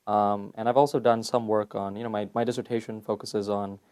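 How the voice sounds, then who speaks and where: A young man from Malaysia, a PhD candidate answering interview questions in a measured, thoughtful way with frequent fillers. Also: on the low side, little pitch movement, darkish tone. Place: conference venue.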